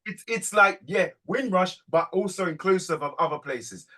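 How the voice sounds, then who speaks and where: A man hosting a reading group on a video call, urging and animated, repeating phrases as he presses his points. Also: sits high, normal speed, a little hiss or hum.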